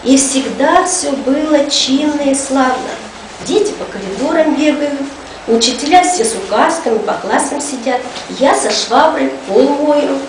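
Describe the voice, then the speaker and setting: A woman's voice: sits high, unhurried. A woman voicing a chatty, folksy school cleaning lady who tells gossipy tales to children, in colloquial language. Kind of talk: storytelling to children. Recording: echoey room, distant mic, noisy.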